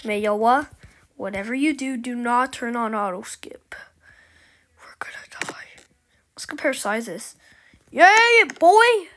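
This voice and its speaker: A woman's voice: a little high, expressive, slow pace, long pauses, fairly bright tone. A woman hosting a casual gameplay video, teasing a friend playfully and often dropping into whispers. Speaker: gaming channel host.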